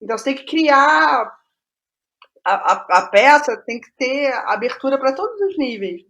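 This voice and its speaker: A Brazilian woman speaking as a guest playwright in a casual interview, digressive and halting, often restarting her words. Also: high-pitched, animated, fairly bright tone.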